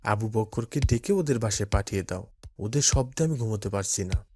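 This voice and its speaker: A man playing a calm patient cartoon father. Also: on the low side, fairly quick, background noise, close to an everyday voice. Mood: tired. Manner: calm, gently instructive. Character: kind patient father, middle-aged man.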